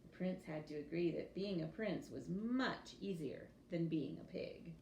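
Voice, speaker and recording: A woman reading a children's story aloud in an expressive teacher's storytelling style. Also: on the low side, measured pace. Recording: roomy echo with faint background noise.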